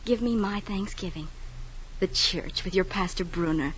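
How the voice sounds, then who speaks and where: An actress playing a steadfast, teasing, loving young wife in gentle, earnest 1940s radio drama style. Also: female voice, lowish voice, moderate pace, slight background noise, close to an everyday voice. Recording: dull old 1940s radio broadcast.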